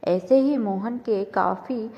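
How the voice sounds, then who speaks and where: A woman's voice: mid-range voice, takes it slowly. Woman narrator with long reverb. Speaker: story narrator. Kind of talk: scripted moral story narration. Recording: dull, lo-fi sound with long reverb.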